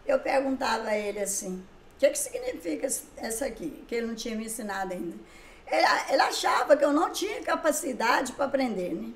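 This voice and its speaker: A woman's voice: fairly high pitch, fast talker, slight background noise. An older woman, a writer and songwriter, chatting in an interview and acting out past conversations as she tells her stories. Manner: lively storyteller who acts out past conversations. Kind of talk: casual interview chat. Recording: echoey room.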